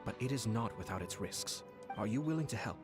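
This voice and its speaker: An actor playing a composed, shrewd young nobleman in a video game, speaking in measured, formal, diplomatic phrases. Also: fairly low pitch, lively intonation, close to an everyday voice. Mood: calm, scheming. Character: composed, shrewd young nobleman and clan commissioner with courteous tact. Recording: game audio with music behind. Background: game music.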